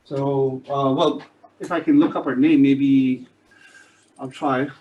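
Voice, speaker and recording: A man's voice: medium pitch, flat delivery, slow pace, phone-quality sound. A middle-aged man with a Singaporean English accent, a business lecturer introducing himself to his class in a chatty, joking way. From Pakistan, grew up in Singapore. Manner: chatty, joking asides, checks in with "all right?".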